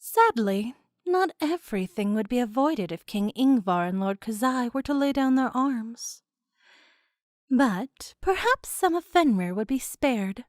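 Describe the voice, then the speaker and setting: A woman's voice: fairly high pitch, expressive, a little slow, dry recording, clean studio sound. A woman narrating a fantasy audio drama in a dramatic, teasing storyteller manner.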